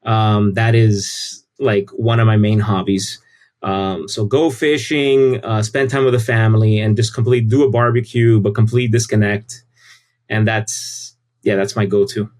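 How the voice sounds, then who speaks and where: A man's voice: fairly low pitch, steady tone, a little slow, a little mellow. A man, talking casually and informally as an interview guest, often repeating phrases for emphasis. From United States. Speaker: interview guest, tech company CEO.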